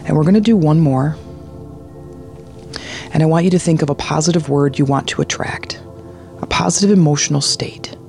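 A meditation guide speaking in a soothing, hushed manner, leading a guided relaxation. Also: medium pitch, vocal fry. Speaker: meditation guide, podcast host.

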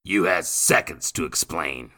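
A man voicing a menacing criminal stepfather who talks in gruff, ungrammatical street slang. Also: lowish voice, sing-song, moderate pace, pushed and bright, voice pushed up, exaggerated voice. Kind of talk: audiobook character voice.

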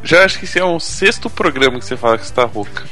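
A man's voice: mid-range voice, animated, racing, sharp tone, faint music behind. A man hosting a talk show, teasing his co-host and reading out listener mail. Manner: joking, teasing banter. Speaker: podcast host.

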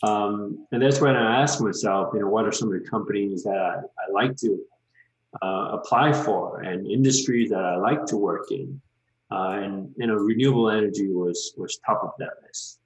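A man in his thirties from Malaysia, a guest in an interview, talking casually and relaxed with many "um" and "you know" fillers. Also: on the low side, moderate pace, reverberant, low-quality recording.

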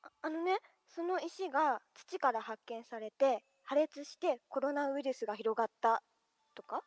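A woman's voice: high-pitched, normal speed, dry recording, lightly acted. A woman performing in an amateur radio drama as a hesitant, wondering young woman.

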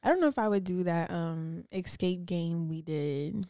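A woman's voice: fairly low pitch, moderate pace, mellow, no room sound, clean studio sound. A woman in her twenties co-hosting a podcast in casual chat, playful and giggly, teasing her partner. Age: 20s. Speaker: podcast co-host.

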